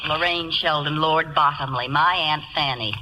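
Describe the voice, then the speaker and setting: An actress playing a wry, sharp-witted secretary with dry, sarcastic delivery in a radio comedy. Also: female voice, low voice, moderate pace, slight background noise, poor audio. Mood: mocking. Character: wry, sharp-witted female secretary, weary of her boss. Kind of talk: 1940s radio comedy acting.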